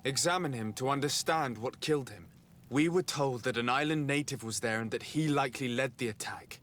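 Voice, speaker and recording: An actor playing a composed, diplomatic envoy in a video game, formal, measured and earnest. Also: male voice, medium pitch, fairly bright tone, a little hiss or hum, lightly acted. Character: composed, diplomatic young male envoy seeking his lost cousin. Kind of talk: video game cutscene acting.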